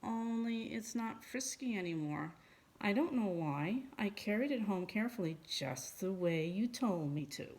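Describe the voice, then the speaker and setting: A woman voicing an earnest, simple young boy who answers meekly and softly. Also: mid-range voice, lively intonation, unhurried, natural voice, poor audio. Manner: soft, meek replies.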